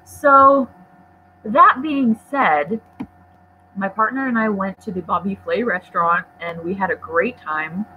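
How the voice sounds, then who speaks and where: A woman's voice: mid-range voice, measured pace. Chatty young woman on a tinny livestream. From Poland. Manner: chatty and rambling, many "um" and "like", self-deprecating asides. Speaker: book vlogger. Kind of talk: casual livestream chat, answering viewer questions. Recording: tinny phone-like livestream audio, faint noise.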